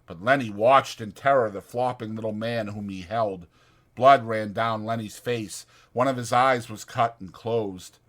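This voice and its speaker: A man's voice: fairly low pitch, unhurried, fairly bright tone. A man narrating a novel as a dramatized audiobook.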